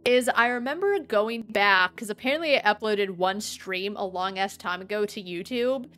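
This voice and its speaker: A woman in her thirties streaming a game, with a dry, sometimes monotone-sounding voice, chatting and rambling. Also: mid-range voice, normal speed. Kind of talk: casual gameplay commentary. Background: faint game music.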